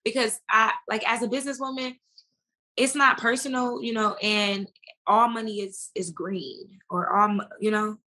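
Bright-voiced American woman chatting casually. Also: on the high side, steady tone, normal speed. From United States. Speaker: interview guest. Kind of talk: casual conversational interview.

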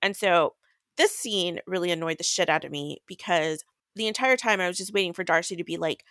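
Woman, slightly low voice, casual podcast recap, exasperated, blunt, sweary, dry sound. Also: a little fast, fairly bright tone, dry recording. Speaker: podcast co-host. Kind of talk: casual book recap and commentary.

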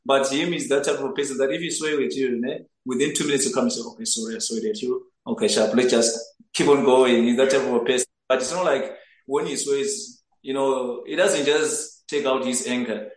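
A man's voice: medium pitch, steady tone, fairly quick. A young man from Zimbabwe who plays as a goalkeeper, answering interview questions earnestly and often repeating words as he searches for them. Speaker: footballer, goalkeeper. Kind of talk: interview answers over a remote link. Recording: echoey room.

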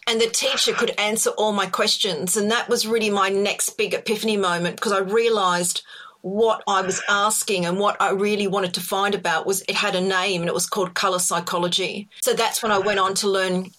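A woman's voice: mid-range voice, steady tone, fairly quick, fairly bright tone. A middle-aged woman with an Australian accent, talking casually as an interview guest with many ums and restarts. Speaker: podcast guest, colour psychology expert.

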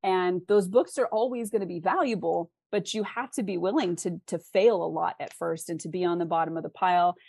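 A woman's voice: on the low side, fairly quick, gravelly, hardly any pauses, mellow, slightly rough, no echo. A woman speaking as an author guest in a conversational interview, giving candid, encouraging and emphatic advice.

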